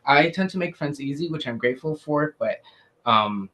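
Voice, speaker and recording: A man's voice: fairly high pitch, lively intonation, moderate pace, pushed and bright. A young man from Syria answering committee questions, earnest and hesitant, with many fillers. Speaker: student applicant.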